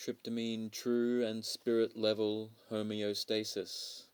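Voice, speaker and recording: A man delivering a dramatic spiritual monologue in solemn, deliberate short declarative phrases. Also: on the low side, monotone, darkish tone, clear, resonant tone, no echo.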